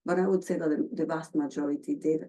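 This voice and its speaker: Argentine lady giving a research talk, low mellow voice, lots of ums and restarts. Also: steady tone. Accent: Argentine Spanish. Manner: halting, many fillers and restarts. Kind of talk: academic presentation.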